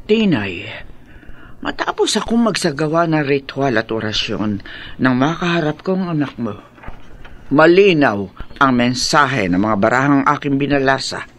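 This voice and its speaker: A man's voice: medium pitch, animated, unhurried, fairly bright tone, a little hiss or hum. A man voicing an old female village healer who speaks solemnly with foreboding. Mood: ominous.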